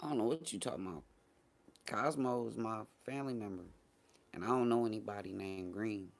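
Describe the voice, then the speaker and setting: Low-voiced American man, blunt and slangy. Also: fairly quick, vocal fry. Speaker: host of a morning talk show. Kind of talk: casual livestream chat.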